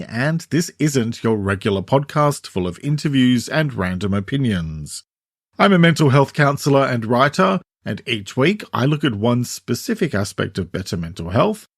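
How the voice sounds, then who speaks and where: A man's voice: medium pitch, vocal fry, dry recording. Middle-aged Australian man, dry close-mic podcast voice. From Sydney, Australia. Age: 40s or 50s. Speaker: podcast host, mental health counsellor. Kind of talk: scripted solo podcast narration, conversational.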